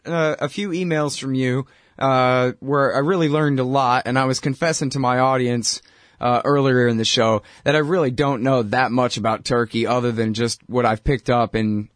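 A male talk-show host keeps things loose and friendly, slipping in little apologies as he goes. His voice sits at a middle pitch. It is recorded close and dry, with no room sound. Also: no echo. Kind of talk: casual interview chat.

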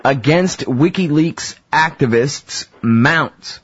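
A man's voice: a little high, slow, a little hiss or hum. A man hosting a radio interview show, chatting casually and informally with his guest. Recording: dull lo-fi radio audio.

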